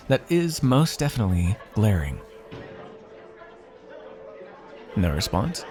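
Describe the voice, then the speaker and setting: A man's voice: fairly low pitch, gravelly, a little mellow, full character voice. A man playing a wry, teasing royal heir and former mercenary in an ASMR fantasy roleplay, with formal, theatrical phrasing. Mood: playfully smug.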